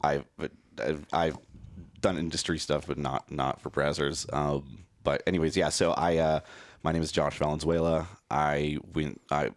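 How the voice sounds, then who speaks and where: A man born in Mexico and raised in Las Vegas, a podcast guest, speaking haltingly with many ums. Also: extremely low pitch, little pitch movement, no room sound. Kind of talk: casual interview chat.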